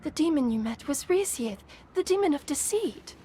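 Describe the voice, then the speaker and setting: A woman's voice: high voice, lively intonation, moderate pace, dark tone, a little hiss or hum. A woman voicing a condescending, deceitful demon in a wizard's guise, theatrical video game acting. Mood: haughty, persuasive. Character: female wizard, secretly a deceitful demon, haughty and manipulative. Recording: music behind the voice. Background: game music.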